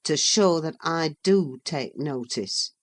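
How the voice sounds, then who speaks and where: A woman's voice: deep voice, animated, slow pace, full character voice. A woman reader voicing a sullen, resentful young man who speaks bluntly and stubbornly.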